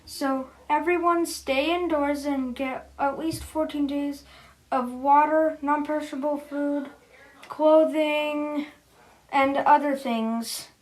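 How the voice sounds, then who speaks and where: A woman reading a scripted mock emergency broadcast as its announcer, in a halting, amateur way with pauses mid-sentence. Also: sits high, fairly even intonation, poor audio. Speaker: emergency broadcast announcer in a hobby scenario video.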